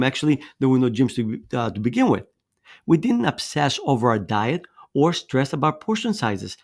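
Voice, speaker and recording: A man's voice: medium pitch, a little mellow. A man in his fifties, raised in Greece, giving a persuasive motivational presentation on fitness with a storytelling manner. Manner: persuasive, storytelling, with occasional restarts.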